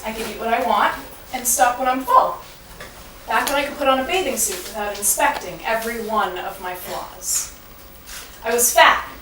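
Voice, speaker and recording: Woman performing rhyming monologue, echoey and distant. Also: a little high. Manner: dramatic, deliberate storytelling with singsong rhyme. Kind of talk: rhyming spoken-word monologue on stage. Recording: echoey, noisy room from far off.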